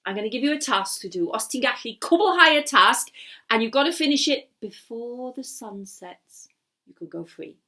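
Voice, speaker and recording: A woman's voice: fairly high pitch, expressive, normal speed, sharp tone. Woman putting on a taunting bilingual storybook wizard. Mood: gloating. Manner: taunting, switches between Welsh and English. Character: gruff scheming male wizard, gloating and bad-tempered.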